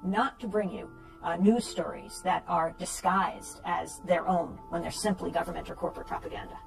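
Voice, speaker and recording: A woman's voice: fairly low pitch, steady tone, moderate pace, vocal fry. A woman giving earnest, emphatic advocacy commentary. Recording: distant clip under music.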